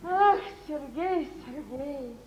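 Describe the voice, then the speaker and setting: A woman's voice: high-pitched, animated, slow pace. An actress playing a bustling, ingratiating middle-aged mother, exclaiming theatrically in an old Russian radio drama. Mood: effusive, fawning. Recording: dull, hissy old radio recording, distant.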